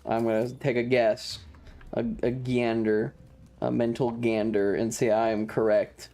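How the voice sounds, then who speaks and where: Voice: mid-range voice, little pitch movement, a little slow, warm tone. Profane, sarcastic game streamer. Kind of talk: casual game commentary to live chat.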